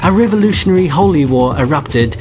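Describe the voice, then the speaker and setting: A man narrating a radio drama in a measured, unhurried storytelling manner. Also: on the high side, expressive. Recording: loud music behind.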